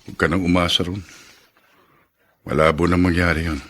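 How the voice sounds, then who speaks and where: An actor playing a pessimistic hospital patient who frets over money, in a radio drama. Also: male voice, deep voice, flat delivery, a little fast, fairly bright tone. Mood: worried, bitter.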